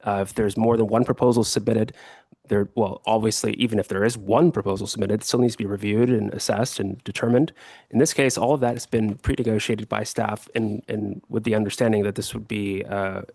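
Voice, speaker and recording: A hoarse man serving as city attorney, explaining a staff report at a council meeting with frequent fillers and restarts. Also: lowish voice, fairly even intonation, a little fast, a little mellow, no room sound.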